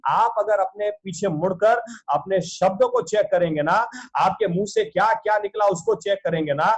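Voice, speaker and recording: A man's voice: high voice, moderate pace. A male pastor preaching a sermon over a video call, earnest, often asking rhetorical questions.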